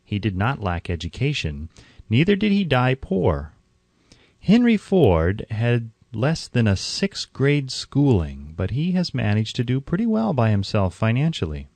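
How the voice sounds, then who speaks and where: A man reading scripted audiobook narration in a formal, measured and emphatic way. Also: medium pitch, wildly swooping pitch, creaky, a little mellow, dry recording.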